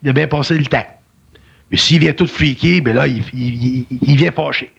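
A man with a Québécois French accent explains spiritual ideas in an emphatic, colloquial way. His voice is gravelly and husky and sits fairly high. The recording sounds dull and lo-fi. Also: normal speed. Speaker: spiritual speaker. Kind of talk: philosophical conversation.

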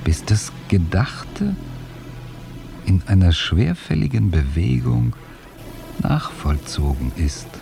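A helpless, brooding inner voice in a radio play, extremely slow and very low. Phrases halt and sink into a hush, gravelly at the edges, with music clearly behind. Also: male voice, sing-song, exaggerated voice. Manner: halting, brooding, hushed phrase endings. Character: man trapped in slowed time, narrating his helplessness inwardly. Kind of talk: radio drama inner monologue.

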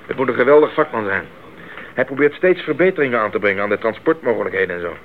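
Man playing idealistic young male missionary, hearty, fervent, animated intonation, tinny narrow-band old radio drama. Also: mid-range voice, fast talker, lightly acted. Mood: confident, calm. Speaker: actor in a radio play. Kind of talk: Dutch radio drama acting. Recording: muffled tinny old radio recording, faint noise.

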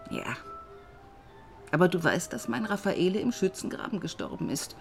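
An older village widow and adoptive mother in a radio drama. Her voice is lowish and moves expressively, dry and firm yet loving, with faint music behind. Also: big pitch swings, normal speed, creaky. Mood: firm, tender. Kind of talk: naturalistic radio drama dialogue.

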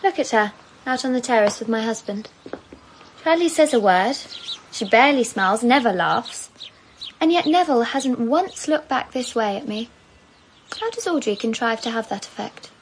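A catty, jealous British wife. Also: female voice, a little high, expressive, normal speed, a little hiss or hum. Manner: petulant, cutting. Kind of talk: radio drama acting.

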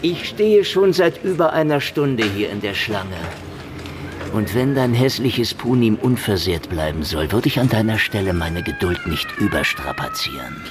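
A man's voice: medium pitch, huge pitch swings, normal speed, noisy background. An actor playing a sardonic, hard-drinking Russian private snoop in a noir radio play, wisecracking and needling as he goes. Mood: cheeky, needling. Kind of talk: noir radio drama acting with first-person narration. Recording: studio radio play with music underneath.

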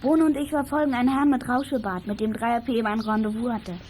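A woman playing a bold, alert child detective in a children's audio drama, calling out briskly. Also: a little high, fairly even intonation, a little mellow, close to an everyday voice. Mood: commanding. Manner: brisk radio-call delivery. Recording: noisy outdoor ambience behind voice.

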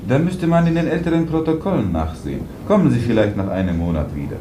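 A man's voice: mid-range voice, big pitch swings, quick pace, lightly acted. Officious church clerk played by a man, very noisy. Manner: formal, bureaucratic. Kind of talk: German radio play acting. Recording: echoey, very noisy radio play.

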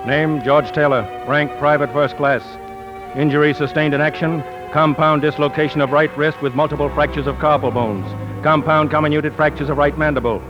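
An actor playing an impersonal military medical officer reading a report in a clipped, formal 1940s radio style. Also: male voice, fairly high pitch, steady tone, normal speed, lightly acted. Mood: clinical. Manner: clinical, staccato. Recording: muffled 1940s radio broadcast with music.

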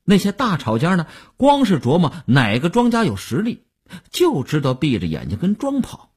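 A man's voice: on the low side, very animated, husky, full character voice. A man playing a smug, boastful stock speculator who cajoles his partner in chatty audiobook dialogue. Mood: smug, excited. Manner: chatty, cajoling, with drawn-out particles and chuckles.

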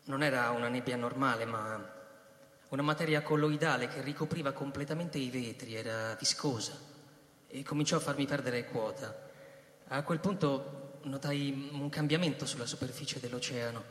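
A pilot giving formal, sober testimony performed by a male actor in a radio drama. His medium-pitched voice is deliberate and descriptive, ringing through a large echoing hall. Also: fairly bright tone, a little hiss or hum, close to an everyday voice. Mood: sober, unsettled. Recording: echoey reverberant hall.

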